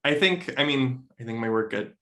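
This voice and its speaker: A man's voice: medium pitch, animated, normal speed, pushed and bright. A man speaking as a literary podcast guest in casual discussion, thoughtful and self-correcting, often checking his points with a tag question.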